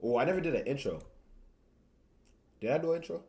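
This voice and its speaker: A man's voice: a little high, expressive, fast, a little mellow. A young man from Tanzania chatting casually on a livestream in informal slang, repeating phrases for emphasis. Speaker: streamer and video creator.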